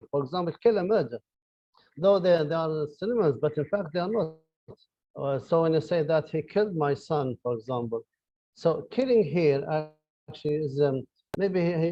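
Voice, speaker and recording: A middle-aged man with an Arabic accent giving a university lecture, hesitant, with many fillers and repeated words. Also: a little high. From Libya. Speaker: university professor. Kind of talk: academic lecture. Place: online lecture. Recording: dull lo-fi audio.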